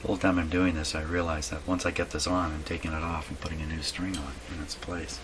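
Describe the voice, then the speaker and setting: A man giving unscripted, halting commentary as he thinks aloud while doing hands-on repair work. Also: a bass voice, level tone. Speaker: radio repair hobbyist narrating a workshop video. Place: indoor radio repair workshop. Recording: clearly noisy workshop recording.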